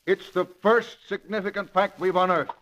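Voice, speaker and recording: An actor playing a self-satisfied, earnest and pompous police commissioner in an old radio drama. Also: male voice, high-pitched, fairly even intonation, moderate pace, fairly bright tone. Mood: smug, insistent. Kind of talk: 1940s radio drama acting.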